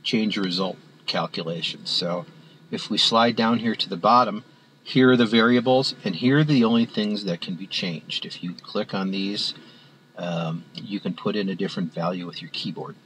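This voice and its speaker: A man walking through a spreadsheet on screen as an instructor, talking in a halting way with many fillers and restarts. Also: lowish voice, fairly bright tone, slight background noise, poor audio. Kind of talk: screen-recorded software walkthrough.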